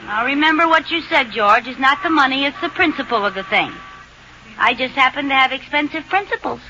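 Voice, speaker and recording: An actress playing a scatterbrained, wheedling housewife in an old-time radio comedy, exclaiming and pleading. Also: female voice, sits high, expressive, a little fast, sharp tone, highs cut off. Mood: coaxing. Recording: very noisy vintage radio recording.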